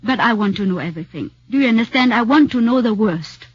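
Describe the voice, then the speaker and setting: A woman's voice: fairly high pitch, expressive, quick pace, breathy edge, no echo. A woman playing a guarded young foreign wife who was once an actress, hesitant and searching for words, in 1940s radio acting. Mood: tense. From Vienna. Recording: dull 1940s radio broadcast.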